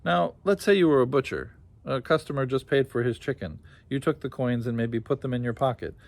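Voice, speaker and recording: A man voicing a feisty, shrewd, loyal wife in a story, exclamatory and sharp-witted. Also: mid-range voice, fairly quick, vocal fry, no echo, lightly acted.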